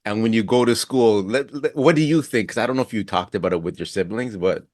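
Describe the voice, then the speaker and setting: Casting: male podcast host, slightly low pitch, informal and curious interviewer, close dry recording. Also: quick pace, a little mellow, no echo. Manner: informal, inquisitive.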